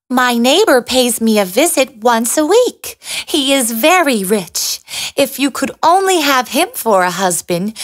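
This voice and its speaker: A woman's voice: on the high side, voice pushed up. A woman voicing a kindly but bossy field mouse in a fairy tale, motherly and insistent.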